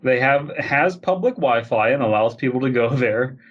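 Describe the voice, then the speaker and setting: A man's voice: medium pitch, lively intonation, normal speed, lo-fi sound. A man with a mid-range voice chats casually as a podcast co-host. He riffs in an incredulous, mock-enthusiastic way as he reacts to stories he reads out. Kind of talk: casual comedic chat, reacting to read-out web fiction.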